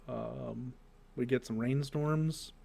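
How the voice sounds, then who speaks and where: An American man co-hosting a podcast in casual group chat, joking and swearing as he tells animated stories. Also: mid-range voice, takes it slowly, gravelly. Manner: profane, joking, animated storytelling. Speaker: podcast co-host.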